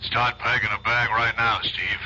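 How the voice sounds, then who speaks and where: A man's voice: medium pitch, flat delivery, normal speed, sharp tone, dull audio, slight background noise. An actor playing a stern male narcotics bureau chief in a radio drama, giving blunt orders.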